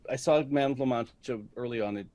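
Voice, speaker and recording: A middle-aged American man telling stories about his life in a reflective interview, speaking in a deliberate, halting way. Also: medium pitch, a little mellow, dry recording. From United States, Detroit area. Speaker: guest, stage actor.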